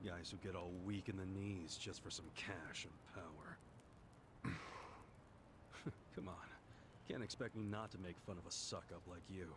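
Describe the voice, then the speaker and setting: A man's voice: low-pitched, steady tone, a little slow, noisy background. A man playing a blunt, skeptical, streetwise tough with a taunting edge in a video game. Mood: mocking. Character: blunt, mocking, streetwise male tough questioner. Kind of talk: English video game voice acting. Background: game music.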